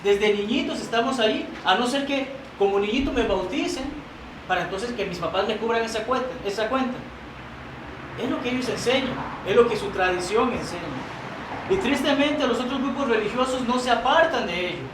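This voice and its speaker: A man's voice: very high-pitched, fairly quick. A man preaching a sermon in a church, earnest and emphatic, reading quoted doctrine aloud and stumbling into restarts now and then. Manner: earnest, rising to emphatic repetition. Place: church sanctuary. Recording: roomy church sound, mic at a distance, noisy.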